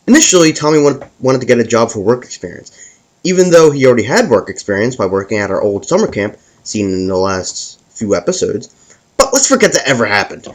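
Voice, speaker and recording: A man hosting a scripted comic story, narrating it with stumbles and repeated words as he reads. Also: mid-range voice. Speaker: host and storyteller.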